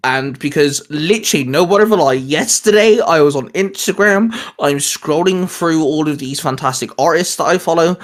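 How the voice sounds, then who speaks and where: Casual man with a slightly high voice. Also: normal speed, few pauses. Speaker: host of a comics discussion show. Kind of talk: casual geeky chat, pitching ideas.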